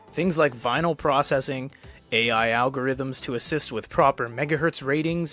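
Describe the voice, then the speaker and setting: A man's voice: fairly high pitch, fairly bright tone. Man explaining tech in a wry, scripted tone. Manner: explanatory with wry casual asides. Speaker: tech reviewer. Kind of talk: scripted product review narration.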